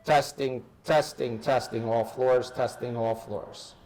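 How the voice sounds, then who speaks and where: A man's voice: medium pitch, steady tone, takes it slowly, darkish tone. A man explaining equipment to staff in an informal hands-on demonstration, step by step and often repeating his phrases. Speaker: installer training staff on an intercom system. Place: indoor room at a workplace. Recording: noisy room, ambient background hiss.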